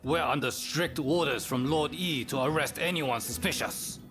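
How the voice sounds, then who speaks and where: A man's voice: on the high side, moderate pace, over music, lightly acted. A man voicing an aggressive, suspicious guard in a video game, barking in a confrontational way. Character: aggressive, suspicious male guard enforcing a lord's orders. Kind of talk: video game cutscene acting.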